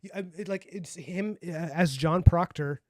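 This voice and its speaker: A man's voice: sits high, a little slow, darkish tone, no echo, studio quality. Chatty man with a light young voice. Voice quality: light youthful voice. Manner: rambling, chatty, many fillers and restarts. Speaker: streamer and comic artist. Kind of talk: casual chat on a livestream.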